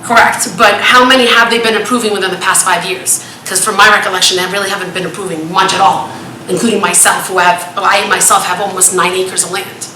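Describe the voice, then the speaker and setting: A woman with a big, bright, forceful voice speaks up at a public hearing, pressing the board with question after question. She rambles a little as she goes. The room around her is echoey, with faint noise underneath. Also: mid-range voice, steady tone, a little fast. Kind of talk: public comment at a town meeting. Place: town hall meeting room.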